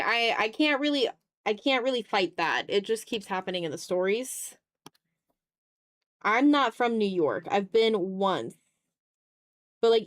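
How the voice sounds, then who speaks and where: A woman streamer chatting informally on a livestream while she draws, often muttering to herself as she works. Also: medium pitch. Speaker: streamer hosting an art stream. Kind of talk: casual livestream chat while drawing.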